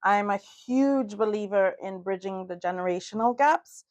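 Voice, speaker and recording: A middle-aged woman from Trinidad and Tobago with a Trinidadian accent, co-hosting a podcast in an animated, chatty way full of "you know" asides. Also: medium pitch, moderate pace. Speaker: podcast co-host. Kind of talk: casual chat.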